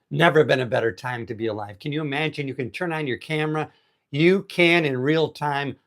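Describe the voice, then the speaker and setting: A man in his sixties hosting a casual motivational livestream outdoors on an island, upbeat and chatty as he greets viewers. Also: fairly high pitch. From United States. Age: 60s. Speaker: livestream host. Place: outdoors on an island in heavy rain. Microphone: phone held in vertical mode.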